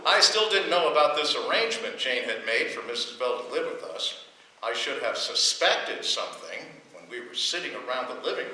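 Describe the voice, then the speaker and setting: A man's voice: a little high, pushed and bright, full character voice. An American man playing a wry, put-upon husband in an old domestic radio comedy, speaking with dry sarcasm. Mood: dry narration. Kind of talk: 1940s radio comedy acting and first-person narration. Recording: echoey, distant old radio recording, faint noise.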